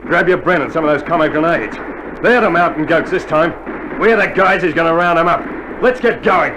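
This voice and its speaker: A man's voice: fairly high pitch, a little fast, background noise, lightly acted. A man playing a seasoned, practical Australian infantryman in a 1960s radio war drama, speaking plainly and colloquially. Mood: matter-of-fact. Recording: old vinyl radio drama, loud gunfire behind. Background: gunfire and artillery.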